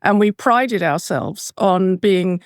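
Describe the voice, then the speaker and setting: A woman's voice: mid-range voice, slow, no echo. Articulate woman host, dry close sound. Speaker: podcast host and interviewer. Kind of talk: scripted narration then interview questions.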